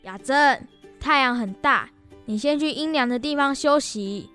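A responsible young boy acted by a female performer with a high voice. He speaks earnestly and considerately as the elder brother in a children's radio play, over light music. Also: a little slow, bright, forceful tone. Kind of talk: children's educational radio drama acting.

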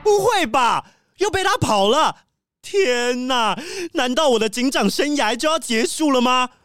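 An earnest wolfdog police chief , acted by a woman, in a children's audio drama. The voice is highish and swings dramatically, emphatic and scolding, with clear music behind it. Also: expressive, measured pace, fairly bright tone, dry recording. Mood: dismayed.

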